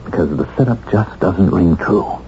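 An American actor playing an earnest husband determined to clear his name in a 1970s radio drama, speaking deliberately with weighted pauses. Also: deep voice, expressive, warm tone. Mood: intent, suspicious. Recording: muffled, noisy 1970s radio broadcast.

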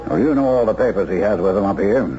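An actor playing a shady, pushy businessman in a 1970s radio drama, speaking in a halting, insinuating way. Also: on the low side, animated, noisy background, close to an everyday voice. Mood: scheming, pressing. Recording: dull vintage radio recording.

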